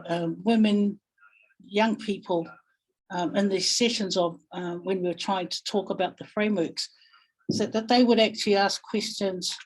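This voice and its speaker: A woman with a New Zealand accent speaking reflectively in a webinar, pausing thoughtfully and searching for her words. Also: mid-range voice, low-quality recording. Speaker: guest webinar speaker, family violence practitioner.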